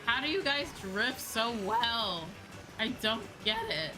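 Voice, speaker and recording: A woman's voice: a little high, lively intonation, slow pace, rough recording. A woman streaming casually as she plays a game, talking in an opinionated, emphatic way and swearing freely. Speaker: streamer, VTuber host. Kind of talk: casual livestream chat while gaming. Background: video game music.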